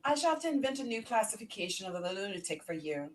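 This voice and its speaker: A woman's voice: mid-range voice, big pitch swings, fast, far from the mic, a little hiss or hum, natural voice, poor audio. A woman playing an earnest, probing male asylum doctor in a gothic horror play. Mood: grave, musing. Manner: formal, questioning. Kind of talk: amateur script read-through of a gothic horror play.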